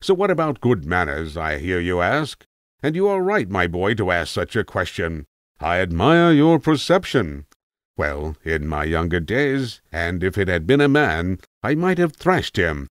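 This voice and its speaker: Man voicing a pompous old tramp, low-pitched. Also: sing-song, a little slow, dry recording, clean studio sound. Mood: pompous, indignant. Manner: pompous, digressive, formal. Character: rambling, fussy, self-assured old British tramp storyteller. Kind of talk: storybook character monologue.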